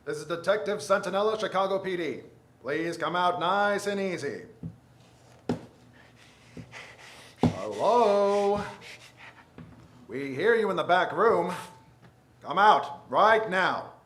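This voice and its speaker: Man acting a lively 1940s police detective, echoey. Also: high-pitched, unhurried, background noise. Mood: commanding. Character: brisk, commanding male Chicago police detective. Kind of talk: 1940s radio drama acting. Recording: roomy theater sound with music underneath. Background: music.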